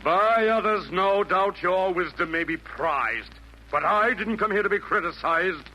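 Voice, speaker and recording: A man's voice: high-pitched, a little slow, bright, forceful tone, heavily acted. An actor playing a vain, scheming male villain from hell, delivering pompous, florid rhymed verse in theatrical 1940s radio style. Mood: boastful, menacing. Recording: noisy vintage 1940s radio broadcast.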